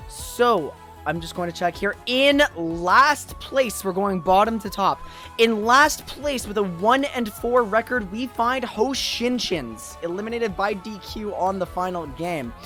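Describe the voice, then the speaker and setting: A tournament host on a livestream with a medium-pitched voice that is going from long hours of talking. The delivery is casual and appreciative, aimed straight at viewers. Faint music plays behind the voice. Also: animated, measured pace, sharp tone. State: losing voice after two days of talking. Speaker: tournament host and streamer caster. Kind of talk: casual esports livestream wrap-up, chatting with viewers.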